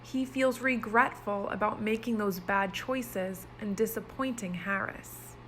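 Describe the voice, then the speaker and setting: Slow-paced woman reading to young children. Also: medium pitch, slight background noise. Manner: expressive, explanatory, simple wording for young children. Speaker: pre-kindergarten teacher reading aloud. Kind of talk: children's story read-aloud with explanations.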